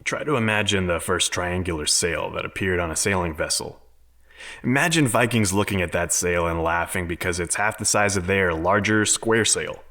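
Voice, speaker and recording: A confident male scientist in a sci-fi audio drama, played by an actor, with a low voice. He lectures earnestly and argues for bold ideas with an open mind. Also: moderate pace, bright, forceful tone. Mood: explaining. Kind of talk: dramatic audio fiction acting.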